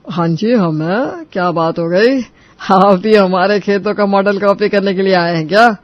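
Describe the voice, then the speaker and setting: A man's voice: high-pitched, unhurried, no room sound, dull audio. A man playing a boastful, stubborn but gullible elderly father-in-law in a folksy cartoon moral story. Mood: obliging.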